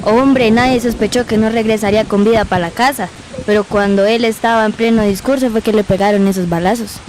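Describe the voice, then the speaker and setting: A woman's voice: medium pitch, steady tone, fairly quick, lightly acted. A woman playing a working-class Colombian mother telling youngsters about the past in an amateur radio drama. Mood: earnest, sorrowful.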